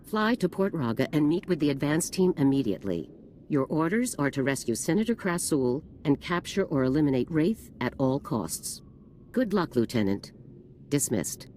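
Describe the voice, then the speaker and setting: An actor voicing a stern commanding officer who gives brisk, authoritative military orders in a video game. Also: mid-range voice, big pitch swings, a little mellow, slight background noise, lightly acted.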